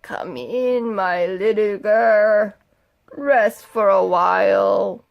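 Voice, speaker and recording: A woman's voice: medium pitch, drawn-out words, gravelly, highs cut off. A woman voicing a sly, hungry wolf who pretends to be a sweet grandma in a children's fairy tale, coaxing with menace underneath.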